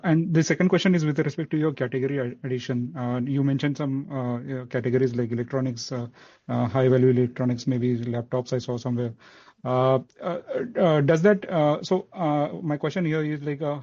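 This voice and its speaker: A man with an Indian accent puts questions as an analyst on an earnings call. His phrasing is halting and his tone dark and mellow. The line is dry and lo-fi. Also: medium pitch, fairly even intonation, no echo. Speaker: financial analyst. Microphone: conference call line. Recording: dull lo-fi phone line.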